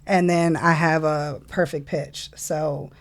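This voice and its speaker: A woman's voice: sits low, slow. A woman, a guest on a casual podcast, telling her story at an easy pace. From New Orleans, USA. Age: 40s. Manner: relaxed storytelling with laughs. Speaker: guest, music manager.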